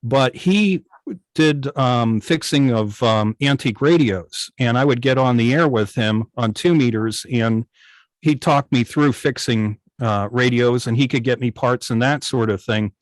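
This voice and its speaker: An older man with an American accent telling stories informally on a video call, halting and often pausing mid-thought. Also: mid-range voice, dry recording, rough recording. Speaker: guest, old-time radio station operator. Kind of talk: informal storytelling in an online club meeting.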